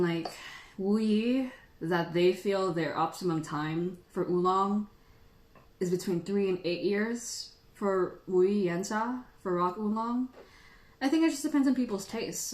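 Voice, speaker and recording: Woman tea host from the Philippines, chatty and giggly, roomy echo around her, everyday pitch. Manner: chatty and playful, laughs often. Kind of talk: casual livestream chat answering viewers. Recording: echoey room.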